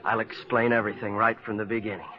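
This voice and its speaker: An actor playing an earnest wounded man of an old clan, telling his tale in measured 1940s radio style. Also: on the low side, little pitch movement, natural voice. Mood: earnest, grave. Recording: dull 1940s radio recording.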